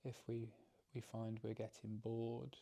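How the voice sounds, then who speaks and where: A man guides a meditation in a soft, instructive way. His voice is relaxed and mellow, with very little pitch movement. He speaks slowly and gently, pausing often and repeating phrases as he goes. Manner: calm, gentle, halting with frequent pauses and repeated phrases. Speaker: meditation teacher.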